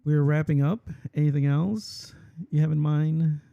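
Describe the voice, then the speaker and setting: A man hosting a podcast, speaking haltingly and repeating words as he gathers his thoughts. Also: fairly high pitch, fairly even intonation, vocal fry, dark tone, no room sound, lo-fi sound. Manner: halting, repeats words while searching for them. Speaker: podcast host.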